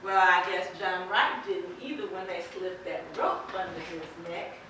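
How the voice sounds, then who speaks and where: A woman playing a plain-spoken, sympathetic, quietly defiant farm wife in an amateur stage play, with deliberate folksy phrasing. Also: lowish voice, natural voice. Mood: questioning, defensive. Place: indoor theater stage, distant microphone. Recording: echoey stage from far off, faint noise.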